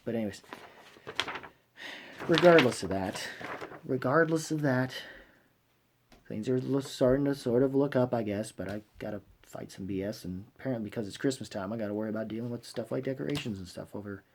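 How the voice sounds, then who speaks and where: Male fan-channel vlogger, medium pitch, casual and rambling, repeats himself and drifts mid-sentence, slightly noisy, clicky recording. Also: darkish tone. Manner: halting, repeats and trails off mid-thought. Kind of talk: casual, rambling vlog commentary.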